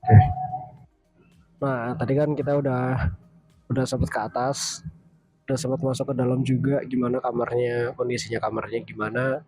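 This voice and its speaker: A man's voice: medium pitch, level tone, moderate pace, long pauses, darkish tone, no echo, soft background music, rough recording. A young Indonesian man with a stuffy, nasal voice from a cold, casually rambling through a villa review with many restarts and self-corrections. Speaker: vlogger reviewing a hotel villa.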